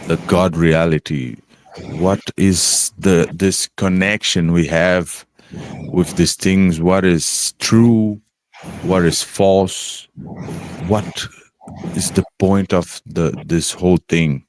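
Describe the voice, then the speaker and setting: A man, an English speaker, talking slowly and haltingly about spiritual experiences on a podcast, pausing often to search for words. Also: low-pitched, fairly even intonation, slight background noise. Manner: halting, searching for words, many pauses and fillers. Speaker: podcast co-host. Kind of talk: slow reflective spiritual chat.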